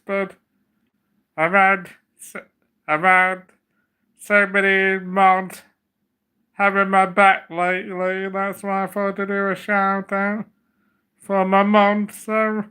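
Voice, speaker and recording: Slow, flat-voiced man, bright tone. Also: sits high, clean tone. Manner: rambling, repeats "so" often. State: slight cough, getting over a cold. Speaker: livestream host. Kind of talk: casual livestream chat.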